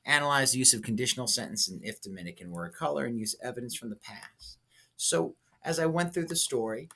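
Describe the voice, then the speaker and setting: A man's voice: medium pitch, expressive, fairly quick, creaky, bright, forceful tone. A man teaching a lesson, thinking aloud and coaching step by step with short check-in questions. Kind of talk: instructional lesson, thinking aloud through a writing example.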